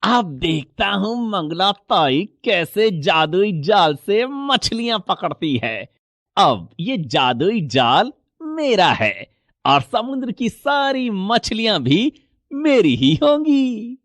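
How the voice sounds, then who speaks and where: Man playing a sly, greedy fisherman, very high voice, slow deliberate delivery, faint hiss. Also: big pitch swings, fairly bright tone, no echo, exaggerated voice. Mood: smug. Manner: taunting, then panicky cries for help. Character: greedy, mocking male village fisherman. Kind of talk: cartoon story voice acting.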